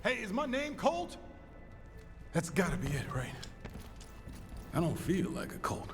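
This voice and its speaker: A confused, wry action hero from a video game. His pitch is fairly high and leaps around as he mutters and swears to himself. Loud music plays behind him. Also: huge pitch swings, measured pace, heavily acted. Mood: wry, puzzled.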